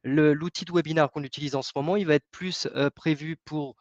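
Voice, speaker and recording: A man's voice: a little high, fairly even intonation, fairly quick, no room sound, highs cut off. A man giving a product presentation in a webinar, hesitant, with many fillers and restarts.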